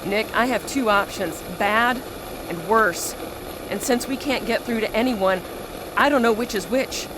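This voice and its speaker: A woman playing a distressed control room operator in an audio drama, speaking in an urgent, halting, guilt-stricken way. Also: a little high, background noise, natural voice. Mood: grave dread. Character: distressed control room operator Aaron facing a terrible choice.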